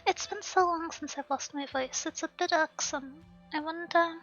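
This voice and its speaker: A woman streamer with a hoarse, sore throat, chatting casually and laughing at herself during a live game stream. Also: very high-pitched, moderate pace, a bit bright. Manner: chatty, self-deprecating, laughing. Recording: slightly dull stream audio, faint game music.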